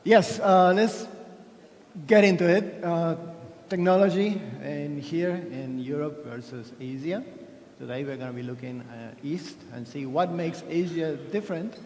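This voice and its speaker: A man's voice: on the high side, measured pace, a little mellow, a little hiss or hum. A man from Argentina giving a chatty, self-deprecating keynote talk at a conference, with many restarts. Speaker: conference speaker. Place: large conference hall. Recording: echoey hall with long reverb.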